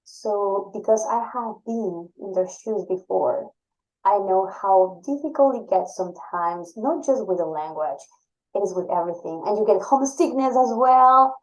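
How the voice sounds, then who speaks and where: A woman's voice: mid-range voice, moderate pace, poor audio. A woman from Ecuador whose first language is Spanish, speaking earnestly as a podcast guest in English with small slips. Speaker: assistant director of graduate admission.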